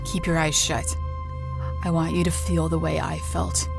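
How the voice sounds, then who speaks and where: Slow, haunted woman, halting. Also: low-pitched, vocal fry, over music. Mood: eerie, intent. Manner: halting, repetitive, faltering. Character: haunted woman anxiously confiding a ghost story. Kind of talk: audio drama monologue.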